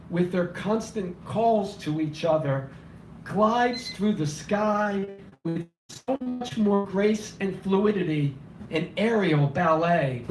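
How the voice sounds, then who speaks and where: A man reading his spoken word poetry at a cafe open mic, impassioned and declamatory, his voice slightly hoarse and weak. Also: sits high, slight background noise. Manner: impassioned, declamatory, rhythmic repetition. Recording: echoey room, voice from far off.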